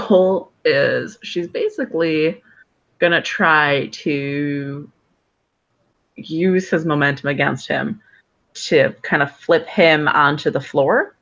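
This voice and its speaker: A woman's voice: sits low, creaky, fairly bright tone. A woman playing a tabletop game, describing her character's moves in a drawling, self-mocking way. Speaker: player. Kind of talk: tabletop role-play. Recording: dull lo-fi call audio.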